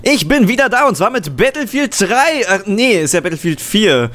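Bossy young man with a lively high voice. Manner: bossy, quick instructions and panicked protests. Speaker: gaming channel co-host. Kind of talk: casual let's-play banter. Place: small room at home, seen on webcam.

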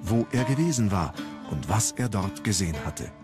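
Guy narrating a documentary, kinda low voice, really varied intonation, music behind him. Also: expressive, normal speed.

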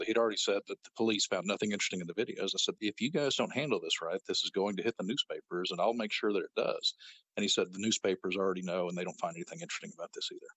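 A man with a very low, husky voice, telling his side of events as a guest. He speaks matter-of-factly, with an indignant edge. The recording is dry and close. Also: very fast, a bit bright, dry recording, rough recording. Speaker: interview guest. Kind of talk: recounting events in an interview.

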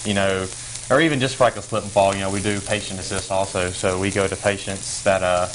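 A young firefighter and paramedic chatting easily while cooking on a TV studio kitchen set. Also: male voice, sits low, level tone, brisk. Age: young adult. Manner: easygoing, full of fillers, talks while stirring a pan. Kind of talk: casual chat while cooking. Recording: clear background noise, sizzling pan.

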